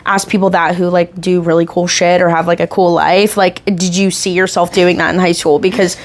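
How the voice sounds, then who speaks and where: A woman's voice: on the low side, little pitch movement, a little fast. A woman in her twenties, an American stand-up comedian, hosting a podcast in a chatty, giggly way with lots of filler words. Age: 20s. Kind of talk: casual chat.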